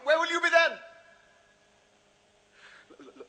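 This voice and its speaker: Brief: a high, jumpy, stammering reporter in a radio play, bright and tense. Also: male voice, fairly even intonation, slow, exaggerated voice, low-quality recording. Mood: blustering. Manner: stammering, panicky, pleading. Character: frightened male reporter, blustering then begging. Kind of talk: 1970s radio drama acting.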